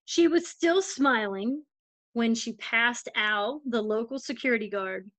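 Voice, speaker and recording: High-voiced woman reading a children's story. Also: a little slow, a bit bright, dry recording. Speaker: volunteer storytime reader.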